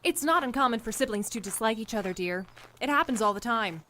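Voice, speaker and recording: A woman's voice: a little high, animated, fast, fairly bright tone, a little hiss or hum. A woman voicing a poised female small-town mayor who speaks in a formal, polished way and calls people dear. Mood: composed. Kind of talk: voiced game dialogue.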